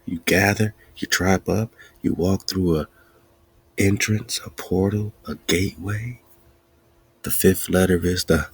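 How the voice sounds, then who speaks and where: Gravelly low-voiced man preaching. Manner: preachy, repetitive, chanting lists; laughs between points. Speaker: podcast host. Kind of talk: spiritual teaching talk.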